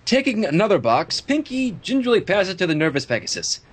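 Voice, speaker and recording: An expressive story reader narrating fan fiction with casual asides. The voice moves widely in pitch and has a husky, breathy grain. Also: fairly quick.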